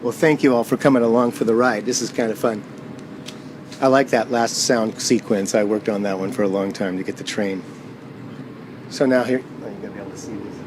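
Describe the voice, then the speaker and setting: A man's voice: fairly low pitch, steady tone, brisk, noisy background. A man presenting his own performance, chatting informally and easily to viewers. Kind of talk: casual chat to viewers.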